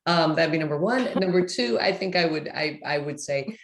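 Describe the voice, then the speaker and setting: A woman's voice: sits low, animated, normal speed. A middle-aged woman talking casually as a panelist, in a conversational, reflective manner.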